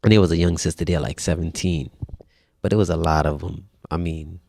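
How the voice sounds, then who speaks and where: A man in his thirties telling his own life story in a relaxed, conversational way as a podcast guest. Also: low voice, a little fast, gravelly, no echo, studio quality. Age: 30s. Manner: relaxed, conversational, frequent restarts and religious phrases. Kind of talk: personal storytelling in casual conversation.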